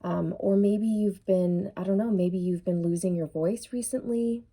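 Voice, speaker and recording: A woman's voice: medium pitch, fairly even intonation, mellow, husky. A woman doing a casual tarot reading who muses aloud with many fillers, saying she is losing her voice. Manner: musing, unhurried, many fillers. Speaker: tarot reader.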